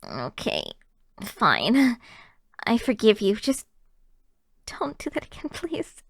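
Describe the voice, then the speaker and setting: Woman acting a shy girlfriend, high soft voice. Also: wildly swooping pitch, takes it slowly, no room sound, studio quality, heavily acted. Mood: affectionate, soft. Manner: soft, hesitant, tender, often slipping into whispers. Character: shy, affectionate young girlfriend, easily flustered. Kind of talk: intimate ASMR girlfriend roleplay. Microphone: close microphone.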